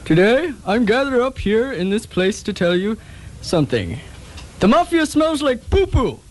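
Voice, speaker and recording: A man playing a silly, bombastic senator with drawn-out oratory in a comedic radio drama. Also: extremely high pitch, very animated. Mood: pompous. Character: silly, bombastic male senator making a speech.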